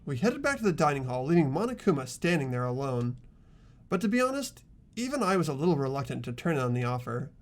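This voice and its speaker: Casting: a performer as everyman teen boy hero, medium pitch, animated, earnest and a little hesitant, game narration. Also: fast, natural voice. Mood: narrating. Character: earnest, hesitant ordinary teenage boy narrating his ordeal. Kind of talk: fan-dubbed visual novel narration and dialogue.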